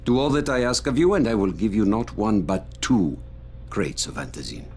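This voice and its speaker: Deep-voiced, pompous crime boss. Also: male voice, expressive, darkish tone, slight background noise. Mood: cold authority. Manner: pompous, deliberate, measured phrasing. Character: menacing, grandiose male crime boss, coldly controlled. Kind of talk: video game cutscene acting.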